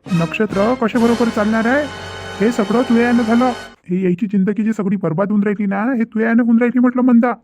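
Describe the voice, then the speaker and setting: Man playing a stern, very high-pitched father. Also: fairly even intonation, fast, over music. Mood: scolding, exasperated. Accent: Vidarbha Marathi. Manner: scolding, repetitive, insistent. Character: stern, exasperated middle-aged father of daughters. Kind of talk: amateur cartoon family drama.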